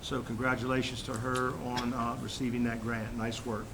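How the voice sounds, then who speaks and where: A man with a head cold giving a formal superintendent's report at a school board meeting, halting with fillers and restarts. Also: level tone. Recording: noisy room recording with rumble.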